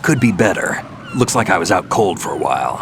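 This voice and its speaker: A man's voice: deep voice, big pitch swings, fairly quick, a little hiss or hum. A man playing a seasoned, world-weary military operative in a video game, casual, terse and dry. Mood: wry, calm. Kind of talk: dramatic video game voice acting.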